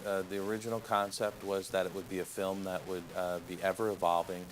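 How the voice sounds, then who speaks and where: Male interviewee, deep and flat, halting explanatory delivery, noticeable room noise. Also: low-quality recording. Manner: halting, repeats words. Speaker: interviewee explaining film history. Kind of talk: unscripted interview. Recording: clear background ambient noise.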